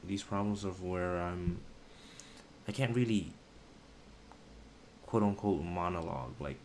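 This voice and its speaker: Low-voiced young man, halting stream chat. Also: darkish tone, a little hiss or hum. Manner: halting, searching for words, slangy. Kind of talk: casual live stream chat.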